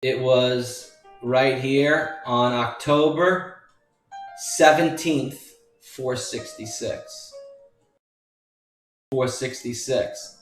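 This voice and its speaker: A man's voice: mid-range voice, extremely slow, pauses often. A man giving an informal stock chart walkthrough as a trading educator, emphatic and repetitive in how he makes his points. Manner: emphatic, repetitive, addressing viewers as folks. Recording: roomy echo, distant mic, faint music.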